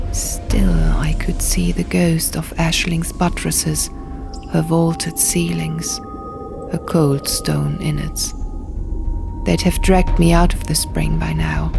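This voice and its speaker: A woman reading a fantasy audiobook dramatically in the first person, in hushed, deliberate short phrases, over music and sound effects. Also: low voice, vocal fry. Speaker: audiobook narrator.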